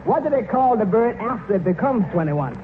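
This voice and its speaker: An actor playing a skeptical, wisecracking waiter who asks sly, innocent-sounding questions in a 1940s radio comedy. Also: male voice, high voice, very animated, brisk. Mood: sly, needling. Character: skeptical, wisecracking waiter, dryly needling his boss. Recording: noisy tinny old radio, audience behind.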